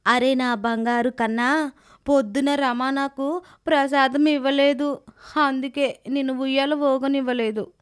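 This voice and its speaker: A sulky, affectionate old lady, voiced by a woman in a cartoon moral tale. Her voice is high and she grumbles in a childlike way. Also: steady tone, measured pace. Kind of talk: children's animated moral story.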